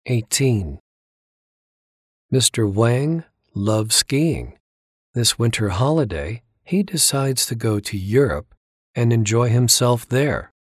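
A man reads exam items in a measured way, at a mid pitch, pausing with care. The recording is close and dry. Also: expressive, slightly rough, no room sound. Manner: slow, measured, deliberate reading. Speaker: listening test reader. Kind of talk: scripted exam narration. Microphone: close studio mic.

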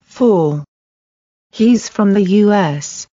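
Woman, language test reader, extremely slow, careful enunciation, dry muffled recording. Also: mid-range voice, lively intonation, dark tone, dry recording. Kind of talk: scripted language-test reading.

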